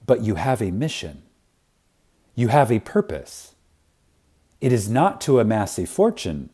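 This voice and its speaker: A man reading a spiritual lecture like a sermon, reverent and emphatic, with deliberate pauses for effect. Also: on the low side, very animated, warm tone, breathy edge.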